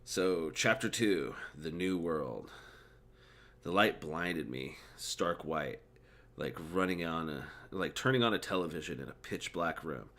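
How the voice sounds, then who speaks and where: A man's voice: sits low, expressive, measured pace, a bit bright. A man who is mildly drunk, reading a book aloud informally and stumbling over words, with casual commentary.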